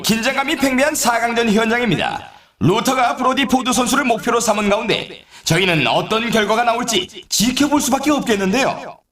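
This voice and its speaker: A man voicing an excitable, playful snowboard race announcer in a video game, with dramatic, showy delivery and drawn-out names. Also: high-pitched. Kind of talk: scripted sports commentary, event introductions. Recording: roomy echo on the voice.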